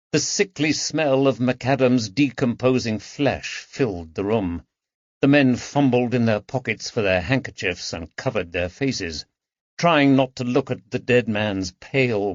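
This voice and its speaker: A man's voice: lowish voice, dry recording, lo-fi sound. A man reading a period mystery novel aloud as an audiobook narrator.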